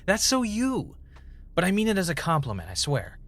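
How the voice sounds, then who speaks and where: An actor playing an intense, brooding podcast host in an audio drama, defensive and unnerved. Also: male voice, high voice, wildly swooping pitch, normal speed, a bit bright, dry recording, full character voice. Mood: earnest. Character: intense, obsessive, unnerved male podcast host, former game-show contestant. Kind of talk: audio drama mixing confessional monologue and conversation.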